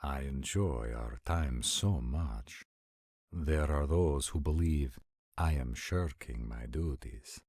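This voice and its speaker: An actor playing a formal, dutiful vampire prince, patient but weary, with a husky voice and measured wording in a roleplay audio drama. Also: male voice, a bass voice, a little mellow, no room sound, studio quality, exaggerated voice. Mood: stern, defensive.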